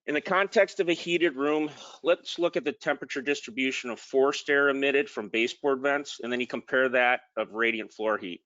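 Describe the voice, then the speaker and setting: A man's voice: medium pitch, normal speed, few pauses, a bit bright, no room sound, lo-fi sound. A man presenting a technical slide talk in an informal way, with frequent ums and restarted words. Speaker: product manager presenting.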